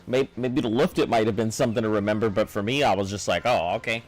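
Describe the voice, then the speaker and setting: A man with a baritone voice chatting casually with viewers on a live game stream, in a loose, rambling way. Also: fast talker. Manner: rambling, loose, chuckling at chat.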